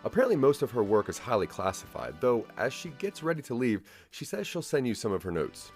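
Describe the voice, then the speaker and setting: A man narrating a scripted audio drama in the second person, with a measured storytelling delivery. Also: medium pitch, very animated, darkish tone, soft background music.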